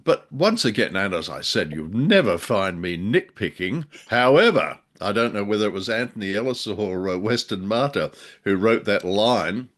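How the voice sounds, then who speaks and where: An Australian man co-hosting a podcast in casual chat, speaking haltingly with a wry, dry humour. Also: on the low side, very animated, fairly bright tone. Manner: hesitant, wry, chuckling storyteller with dry humour. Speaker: podcast co-host.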